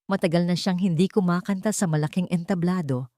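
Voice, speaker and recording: A woman's voice: lowish voice, steady tone, brisk, dark tone, no room sound, studio quality. A woman narrator reading a scripted love story in a dramatic audio-drama style.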